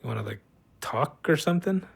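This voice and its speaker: A man's voice: on the high side, animated, brisk, vocal fry, slightly rough, full character voice. An actor playing an awkward, kindly male cousin in an audio drama, with a hesitant, colloquial way of talking.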